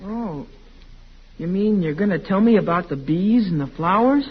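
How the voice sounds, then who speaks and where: A woman's voice: medium pitch, lively intonation, normal speed, lightly acted. A woman playing a blunt, skeptical American boy in an old radio comedy, with an earnest, questioning manner. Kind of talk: 1940s radio comedy acting. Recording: muffled, hissy old radio recording.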